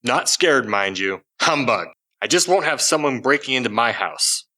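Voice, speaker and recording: Sharp, grumbling old male miser played by a man. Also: mid-range voice, expressive, moderate pace, slight background noise. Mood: uneasy, grumbling. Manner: curt, grumbling. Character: grumpy, miserly old businessman, curt and scornful. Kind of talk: audio drama acting.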